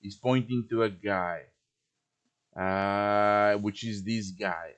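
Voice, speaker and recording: A man hosting a casual step-by-step software tutorial on a live stream, thinking aloud informally as he works. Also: lowish voice, steady tone, takes it slowly. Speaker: live stream host teaching quest making. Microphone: computer microphone on a live stream voice chat.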